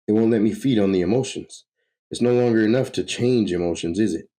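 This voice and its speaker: A man's voice: lowish voice, a little fast, dark tone, pitched above the performer's own voice, natural voice. A man voicing a manic, sadistic villain who gloats theatrically while reading a comic book story aloud. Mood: hungry gloating.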